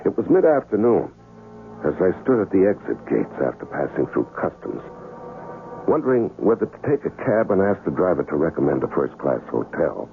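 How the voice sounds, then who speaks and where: A phone-thin vintage radio recording with music behind. an American man, a tense, wry traveler who has lost his memory. His deep voice swings expressively as he tells his own story with some hesitation. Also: very animated, brisk, gravelly, narrow, tinny audio, heavily acted. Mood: wary recounting. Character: tense amnesiac male traveler narrating his own adventure. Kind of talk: first-person radio drama narration and dialogue.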